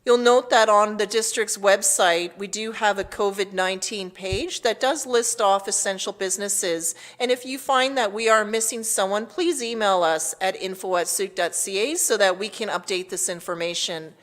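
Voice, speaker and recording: A woman with a Canadian accent speaking as mayor, reading out a formal public address in a measured, official manner. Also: mid-range voice, fairly bright tone.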